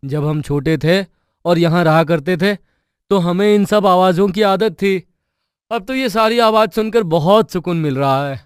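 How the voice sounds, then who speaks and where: Cartoon husband, male actor: young, grumpy, dry wit, slightly high clean tone. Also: a little slow, clean tone, dry recording. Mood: reassuring.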